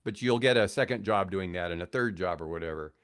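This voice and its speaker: A man in his sixties with a fairly low voice talks as a podcast guest. He tells stories casually, wandering and rephrasing as he goes. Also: fast talker. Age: 60s. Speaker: podcast guest, former radio broadcaster. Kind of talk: casual storytelling in a podcast interview.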